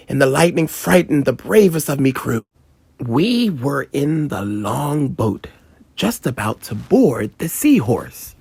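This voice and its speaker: A gruff old pirate captain's ghost spins a children's storybook tale. The voice is gravelly and swings widely in pitch, unhurried and nostalgic, thick with piratical arrs. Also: a little mellow, no echo, heavily acted. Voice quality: gravelly, growling.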